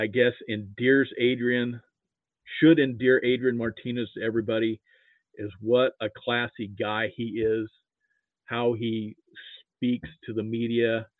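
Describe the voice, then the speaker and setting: Phone-quality narrow sound, American man, halting casual sports talk, dry, mid-low pitch. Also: no room sound. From Nebraska, United States. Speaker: podcast guest, sports fan. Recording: muffled phone-like line.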